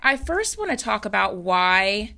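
A woman's voice: mid-range voice, sing-song, measured pace, gravelly, bright, forceful tone. A woman hosting a talk show, interviewing a guest in a conversational style.

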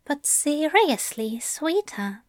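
A woman's voice: high voice, big pitch swings, a little slow, fairly bright tone, no room sound, clean studio sound, voice pushed up, full character voice. A woman voicing a tender, teasing male angel lover in an audiobook, coaxing and fussily polite with endearments. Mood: gently teasing. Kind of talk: fan fiction audiobook character voice.

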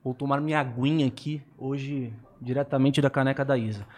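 A man's voice: mid-range voice, fairly even intonation, a little fast, a little mellow. A man co-hosting a podcast, talking in an upbeat, informal and promotional way. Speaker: podcast co-host. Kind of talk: casual podcast chat.

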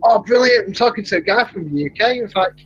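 An excitable man with a broad Mancunian accent tells stories in a casual podcast chat, his voice climbing high whenever he gets worked up. His tone is a little husky, and the recording sounds dull and lo-fi. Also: fast talker. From England (Manchester). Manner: animated storyteller, laughs often, rising pitch when excited.

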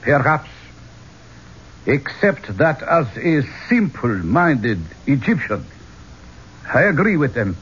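An actor playing a proud, solemn Egyptian man in a 1970s radio drama, speaking formally and ominously in a measured way. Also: medium pitch, very animated, fairly bright tone, husky, exaggerated voice. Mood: cold defiance. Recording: muffled old radio broadcast recording.

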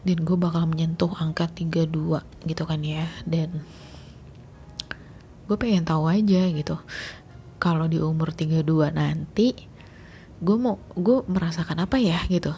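A woman's voice: low-pitched, a little slow, long pauses, dark tone, slight background noise. A woman, telling a story in a rambling, chatty way full of fillers. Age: late 20s. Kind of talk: casual storytelling monologue.

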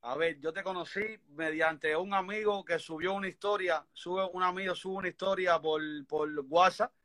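Cuban man, 30s, high bright voice, earnest and rambling guest. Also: fairly even intonation, moderate pace. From Cuba. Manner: earnest, rambling, many restarts. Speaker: interview guest. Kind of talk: personal story in conversation.

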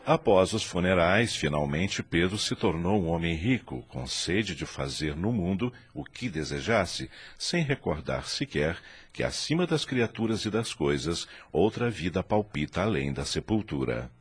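A man narrating a radio drama in an expressive, dramatic way. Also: low-pitched, measured pace.

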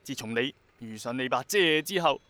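A man's voice: mid-range voice, lively intonation, brisk, sharp tone, dry recording. An actor with a Hong Kong Cantonese accent playing an earnest, dreamy young man who is obsessed with bringing back rain, in a radio drama. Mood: earnest, excited. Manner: passionate, persuasive, romantic-minded.

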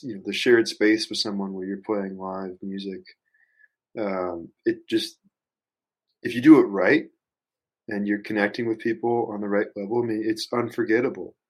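A man chatting casually as a musician guest on a podcast, thinking out loud in a rambling, hesitant, reflective way and swearing casually. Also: lowish voice, flat delivery, normal speed, long pauses, low-quality recording.

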